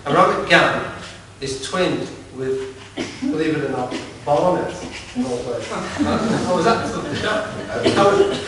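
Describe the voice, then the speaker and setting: A man with a broad Lancashire accent addresses a political meeting in a fairly high voice, halting often and repeating words between wry asides. He is recorded from a distance in a very echoey hall, and a steady hiss of noise sits under the speech. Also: gravelly. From Lancashire, England. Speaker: campaign speaker at a political meeting. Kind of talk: informal public speech. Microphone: distant from the recording device.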